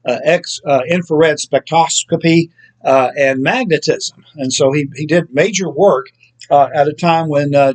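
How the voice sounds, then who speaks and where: A man with a slightly higher-than-average voice hosts a book review, explaining an author's background in a loose, unscripted way. He fills his pauses with ums and uhs and often stops to correct himself. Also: low-quality recording. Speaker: book reviewer, show host. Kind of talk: casual spoken book review.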